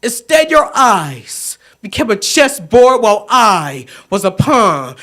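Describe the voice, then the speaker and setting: High-pitched young man performing impassioned spoken word. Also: sharp tone. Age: 20s. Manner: impassioned, theatrical delivery with emphatic phrasing.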